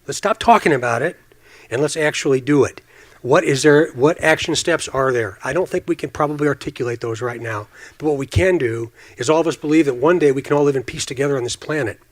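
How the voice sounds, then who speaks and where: A man's voice: on the high side, quick pace. A man in his fifties speaking as a police official at a forum, earnest and emphatic, repeating phrases for stress. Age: 50s. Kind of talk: impassioned forum speech.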